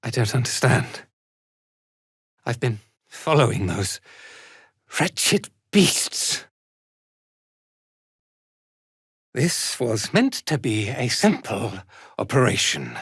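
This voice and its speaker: A man's voice: medium pitch, very animated, slow pace, long pauses, husky, no echo, studio quality, heavily acted. A man voicing an arrogant, contemptuous drow commander in a video game, with a haughty, imperious and theatrical delivery. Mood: defensive, frustrated. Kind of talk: dramatic video game voice acting.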